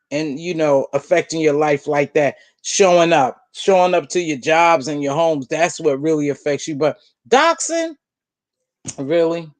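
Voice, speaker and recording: A middle-aged woman with a deep voice, hosting a casual livestream from home and chatting bluntly with viewers, calling them baby and girl. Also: dry recording. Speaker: livestream host. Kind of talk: casual live chat, answering viewer comments.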